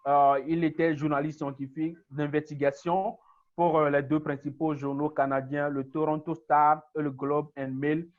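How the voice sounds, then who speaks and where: A man's voice: a little high, little pitch movement, moderate pace, phone-quality sound. A man moderating a webinar, formally reading out a guest speaker's introduction. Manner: formal, reads steadily.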